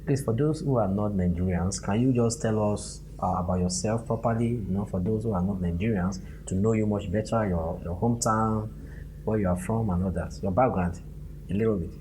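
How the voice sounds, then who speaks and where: Host role: deep-voiced man asking courteous, halting interview questions. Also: warm tone, a little hiss or hum, rough recording. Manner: polite, hesitant questioning with fillers.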